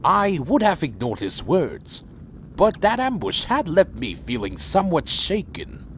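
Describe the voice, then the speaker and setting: An actor playing a troubled, earnest vampire leader who speaks formally and solemnly in an audio drama. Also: male voice, fairly high pitch, wildly swooping pitch, measured pace, a little hiss or hum. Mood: brooding, reflective. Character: earnest, troubled male vampire clan leader, proud yet deferential. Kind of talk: audio drama narration and dialogue.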